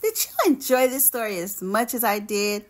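A woman teacher reading a children's picture book aloud in a deliberate, expressive storytelling way. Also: medium pitch. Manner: slow, deliberate, animated storytelling for young listeners.

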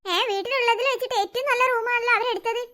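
A woman's voice: extremely high pitch, fairly even intonation, fast talker, sharp tone. A woman voicing a feisty, outspoken schoolgirl daughter in a family cartoon, chattering emphatically and arguing. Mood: indignant. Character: feisty, outspoken schoolgirl daughter, quick to defend her mother.

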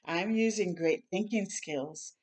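A woman's voice: fairly low pitch, slow pace, darkish tone. An older woman doing a children's storytime, reading a picture book aloud and adding encouraging, chatty asides. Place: small room at home, indoors.

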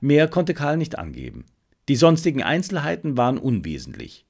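A man reading a detective story as an audiobook narrator, in measured, expressive scripted narration. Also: mid-range voice, vocal fry, breathy edge.

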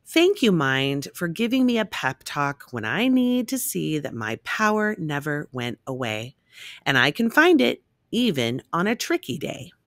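A woman reading a rhyming children's picture book aloud in an expressive, measured storytelling manner. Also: low-pitched, dry recording, clean studio sound.

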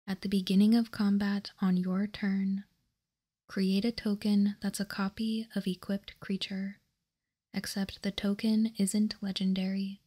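A woman whispering softly and breathily in an ASMR style, calm and unhurried, as she hosts a card walkthrough. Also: mid-range voice, slightly rough. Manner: calm, unhurried, reading out and commenting. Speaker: host of a card game ASMR channel.

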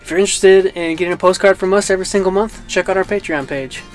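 A man's voice: sits high, steady tone, fast talker, over music. Easygoing young man vlogging on a trail. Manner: easygoing, chatty, self-mocking. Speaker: travel vlogger. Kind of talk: casual travel vlog narration. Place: outdoors on a mountain trail and inside a camper van. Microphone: handheld camera at arm's length.

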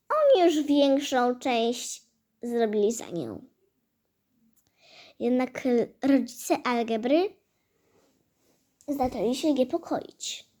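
A woman storyteller narrating a fairy tale in a halting, hesitant way, with stumbles and repeated phrases. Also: high-pitched, a little mellow. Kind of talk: fairy-tale storytelling for a hobby audio play.

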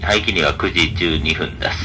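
A man's voice: deep voice, normal speed. A man working as a train conductor, giving formal, polite announcements to passengers. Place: inside a moving express train, over the PA. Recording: train PA with loud carriage noise. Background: loud train running noise.

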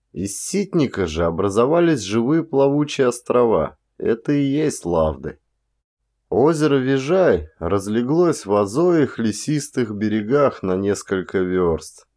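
A man reading a literary audiobook as an actor, with measured, dramatic phrasing and deliberate pauses. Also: mid-range voice, darkish tone. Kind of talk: expressive literary audiobook narration.

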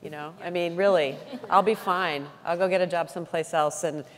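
Moderator, woman; deep, loose and chatty; stage mic with long hall reverb. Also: fairly even intonation, fairly quick. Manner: casual, rambling, filler-heavy. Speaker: panel moderator. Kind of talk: panel discussion. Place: large conference hall stage. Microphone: handheld mic.

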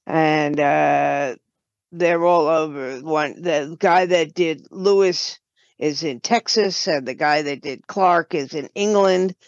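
A woman's voice: low voice, slow pace, no room sound. An older woman talking as an interview guest, telling stories conversationally over a dull lo-fi remote connection. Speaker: podcast guest, writer and producer. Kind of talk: conversational storytelling.

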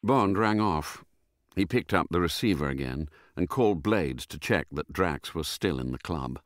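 A man's voice: low-pitched, lively intonation, normal speed, dry recording, clean studio sound. A man reading scripted narration for a radio drama.

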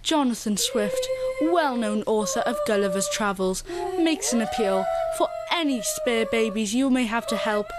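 A grand, easily flustered radio announcer played by a woman. The voice sits a little high, reading theatrically in a comic radio play. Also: big pitch swings, normal speed, few pauses, loud music behind the voice.

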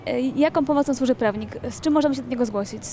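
A young woman presenter with a high voice, asking brisk, polite questions. Faint shopping-mall noise sits behind her. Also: dark tone. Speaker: interviewer. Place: shopping mall seating area, indoors.

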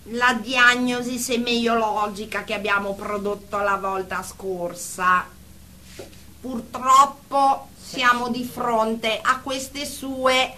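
A woman playing a stern, patronising therapist in a comic radio drama, speaking in measured, pompous clinical terms. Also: a little high, sharp tone, exaggerated voice. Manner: measured, pompous, jargon-laden. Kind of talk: radio comedy-drama acting.

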